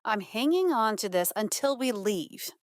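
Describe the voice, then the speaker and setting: An actress plays a young woman athlete in a romance audiobook. She is easily flustered and mutters in exasperation. Her voice sits on the higher side, recorded very dry. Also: sing-song, a little slow, no room sound. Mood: wry, determined. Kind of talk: audiobook character acting.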